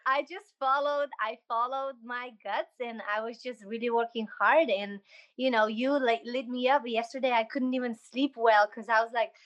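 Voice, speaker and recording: Woman, Slovenian accent, slightly high voice, podcast guest, enthusiastic, animated. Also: normal speed, lo-fi sound. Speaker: podcast guest, dancer and choreographer. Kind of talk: casual interview chat.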